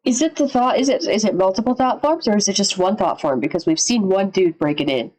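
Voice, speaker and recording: A woman giving casual live reaction commentary as a streamer, informal and sweary as she thinks out loud. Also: medium pitch, brisk, poor audio. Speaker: streamer reacting to and analysing horror videos.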